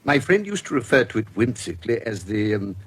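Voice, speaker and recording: An actor with a British accent playing an urbane, shrewd medico-legal investigator in a radio drama, speaking with courteous, measured formality. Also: male voice, lowish voice, big pitch swings, a little hiss or hum. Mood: smooth, purposeful. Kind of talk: period radio drama acting.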